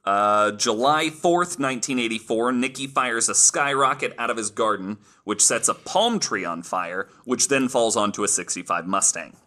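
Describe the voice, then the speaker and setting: A man's voice: mid-range voice, moderate pace, few pauses, sharp tone. A man hosting a casual podcast, telling stories from his notes in an informal, amused, sweary way. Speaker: podcast host.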